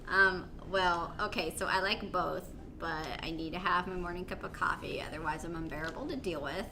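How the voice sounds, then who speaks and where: Young woman, fairly low pitch, casual conversational co-host, noisy recording. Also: normal speed. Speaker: co-host of a gaming channel. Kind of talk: casual group chat. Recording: noisy room background.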